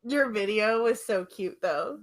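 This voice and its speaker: A woman with a mid-range voice in a loose, comedic podcast chat. She tells stories in animated fashion, acting out her own replies. Her talk is slangy and she laughs easily. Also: medium pitch, unhurried. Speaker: podcast co-host.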